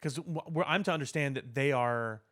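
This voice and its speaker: A man co-hosting a podcast, talking casually about pop culture in wordy, measured phrasing. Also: medium pitch, a bit bright. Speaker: podcast co-host.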